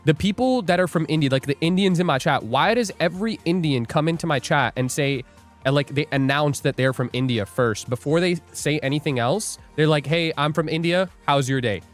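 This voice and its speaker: A man's voice: a little high, fairly quick. Joking young man streaming live, music behind. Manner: joking, rambling, laughs often, reads chat aloud. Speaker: livestream day trader host. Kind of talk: casual livestream chat.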